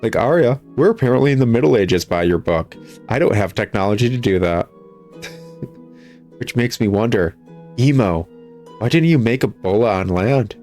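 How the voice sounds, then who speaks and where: Male game commentator, lowish voice, wry and exasperated, off-the-cuff critique, faint game music underneath. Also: expressive, moderate pace, pauses often, a little mellow. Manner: sarcastic, exasperated, chuckling griping. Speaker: gamer critiquing a video game. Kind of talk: casual gameplay commentary, reading dialogue aloud. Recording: music behind the voice. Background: video game music.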